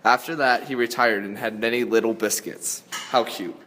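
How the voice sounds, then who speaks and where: A man's voice: mid-range voice, steady tone, moderate pace, fairly bright tone. A man reading scripted story narration as a narrator. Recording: echoey hall reverb.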